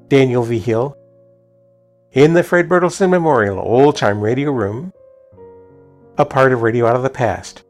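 A man presenting an old-time radio show, chatting casually and introducing programmes. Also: medium pitch.

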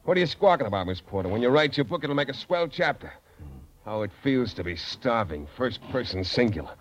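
A man's voice: mid-range voice, lively intonation, fairly quick, slight background noise, lightly acted. An actor playing a tough, sardonic working-class American man in an old radio drama, terse and blunt. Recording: muffled old radio recording.

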